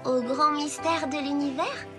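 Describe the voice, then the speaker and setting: A woman voicing a spirited, curious young girl with a dramatic, expressive delivery in an animated series. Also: sits high, measured pace. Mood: dreamy wonder. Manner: expressive, exclamatory. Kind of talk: French animated series dialogue. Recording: loud music behind the voice. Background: loud music.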